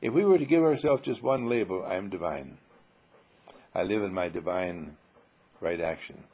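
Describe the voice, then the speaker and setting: A man giving an informal spiritual sermon full of rhetorical questions, emphatic and dramatic, with a husky, gravelly voice. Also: low voice, moderate pace, a little mellow, highs cut off. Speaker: spiritual teacher.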